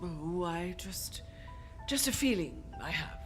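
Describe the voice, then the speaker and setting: A woman playing a polite, genteel businesswoman with a husky voice, acted in 1940s radio drama style. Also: on the low side, big pitch swings, takes it slowly, faint music behind, heavily acted. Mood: guarded, uneasy.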